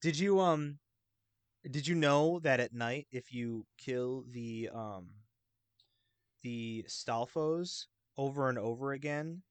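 A man's voice: mid-range voice, slow, clean tone, dry recording. A man in a casual chat about a video game, eagerly explaining details at length.

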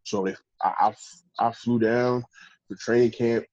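A man, a professional football player, telling stories in a casual interview with a deep voice and a loose, rambling way of talking. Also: fairly even intonation, measured pace, a little mellow, no echo, muffled recording. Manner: laid-back, rambling with restarts. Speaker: pro football player guest.

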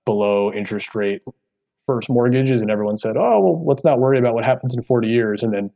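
An American man with a deep voice giving earnest, explanatory interview answers as a podcast guest, with frequent asides. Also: fast talker, creaky, mellow, muffled recording. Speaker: podcast guest, real estate executive.

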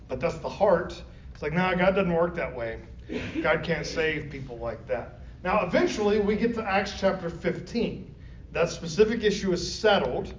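A man teaching a Bible study class, talking conversationally and often asking the group questions. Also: high voice. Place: classroom or church meeting room. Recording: roomy, distant, dull lo-fi with faint noise.

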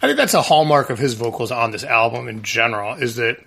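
A man's voice: medium pitch, animated, quick pace, vocal fry, fairly bright tone. A man, a band's singer, chatting casually with lots of restarts and fillers. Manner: rambling, many restarts and fillers. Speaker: singer in a band, guest. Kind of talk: casual conversation.

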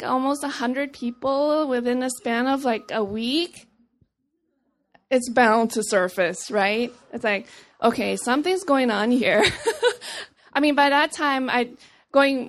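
Earnest woman with a gravelly edge. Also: on the high side. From Papua New Guinea. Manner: earnest, conversational, restarts and acts out dialogue. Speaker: speaker sharing a testimony. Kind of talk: personal testimony talk.

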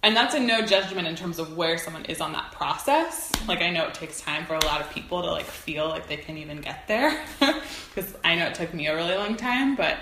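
Low-voiced, earnest woman in a roomy space. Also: fairly quick, bright, forceful tone. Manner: earnest, informal, thinking aloud. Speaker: interview guest, folk practitioner. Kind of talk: casual reflective interview conversation. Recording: roomy echo, faint background noise.

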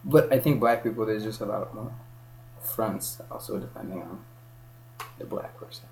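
Rambling young man, low voice, faint hum. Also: normal speed, vocal fry, darkish tone. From Kenya. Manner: rambling, many restarts and self-corrections. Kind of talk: casual chat.

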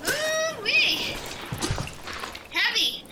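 An actress playing a laid-back, scrappy dragon with a dry, casual manner in an audio drama. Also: female voice, very high-pitched, very animated, drawn-out words, recorded from a distance, full character voice. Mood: wry, casual. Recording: noisy outdoor-style ambience.

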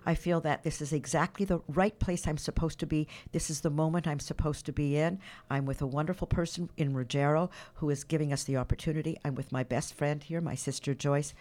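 An older woman being interviewed on a radio show, speaking in a calm, measured way. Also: low voice. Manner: calm, measured, warm-hearted. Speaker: guest.

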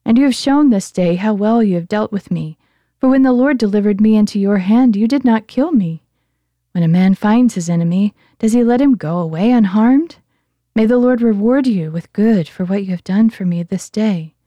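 A woman's voice: medium pitch, moderate pace, dark tone, dry recording, muffled recording, close to an everyday voice. A woman reading the lines of a remorseful older king who speaks with raised emotion in a scripture reading. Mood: contrite.

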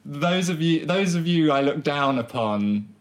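A man's voice: a little high, expressive, measured pace. A man with a Southern English accent co-hosting a podcast in casual chat, joking in a mock-pompous way and rambling with restarts. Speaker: podcast co-host.

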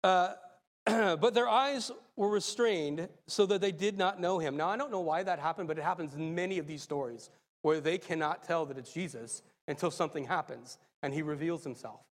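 A man preaching a sermon, a little raspy and hoarse from a cold, excitable and laughing as he talks. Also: fairly high pitch, normal speed, long reverb tail. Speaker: lay preacher.

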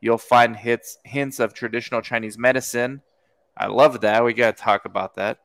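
A man hosting a podcast interview, talking in an energetic, informal way. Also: medium pitch, moderate pace, dry recording. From United States. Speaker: podcast host. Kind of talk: casual interview chat.

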